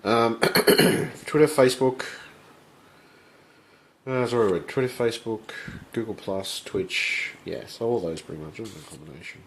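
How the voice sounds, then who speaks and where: Stuffy-nosed guy, kinda low voice, rambling at his home bench off camera, cusses now and then. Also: a little hiss or hum, poor audio. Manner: rambling, halting, swears when frustrated. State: congested, blocked sinuses. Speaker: channel host. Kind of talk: casual vlog. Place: home workbench room.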